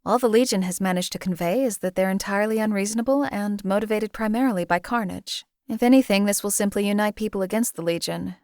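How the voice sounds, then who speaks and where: A woman voicing a cold, emotionless wasteland assassin for a video game, with a detached, deadpan, formal and articulate delivery. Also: medium pitch, dry recording, studio quality, lightly acted. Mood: coolly critical. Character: cold, emotionless female assassin for hire in a post-apocalyptic wasteland. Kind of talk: scripted video game character dialogue.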